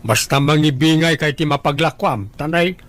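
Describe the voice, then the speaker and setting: A man's voice: fairly high pitch, moderate pace, a bit bright, close to an everyday voice. A man playing a grumbling, opportunistic father of a grown daughter in an audio drama, matter-of-fact in manner. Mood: scheming. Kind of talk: Ilocano audio drama dialogue.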